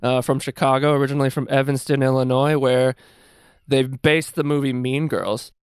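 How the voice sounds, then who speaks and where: Male podcast host, smooth and clear, close dry sound, casual and slangy, swears freely. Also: medium pitch, steady tone, normal speed, no room sound. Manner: informal, slangy, profane.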